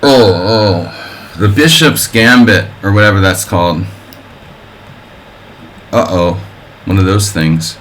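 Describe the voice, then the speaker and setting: A man with a fairly low voice thinks aloud through a chess simul on his live stream, calling out moves between wry jokes and puns. A faint hum of room noise sits under his voice. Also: takes it slowly, pauses often, a bit bright. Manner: wry and offhand, chatting with viewers. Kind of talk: live-stream commentary while playing a simul.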